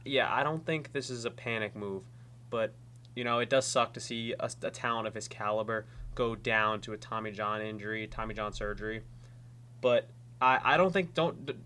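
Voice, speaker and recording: A man's voice: mid-range voice, pushed and bright, slight background noise. Stuffy-voiced man hosting a podcast. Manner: casual, with frequent restarts and filler. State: stuffy from a cold. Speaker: podcast host. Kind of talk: solo fantasy baseball podcast.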